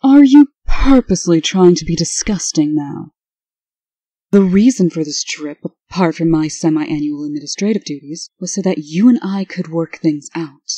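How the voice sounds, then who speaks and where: A woman's voice: lowish voice, animated, normal speed, dark tone, husky, no room sound. A woman playing a prim, authoritative administrator and exasperated sister, formal and scolding, in a comic dub.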